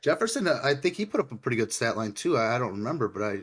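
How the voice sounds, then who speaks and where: A man's voice: mid-range voice, very animated, very fast, fairly bright tone, slightly rough. A man with a mid-range voice talks bluntly on a sports podcast. He is sarcastic and swears casually. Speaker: podcast co-host. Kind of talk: casual chat.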